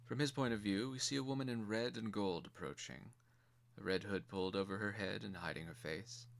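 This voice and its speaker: Male narrator, low register, measured and theatrical, reading dark fantasy stage directions. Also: creaky. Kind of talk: dramatic screenplay reading.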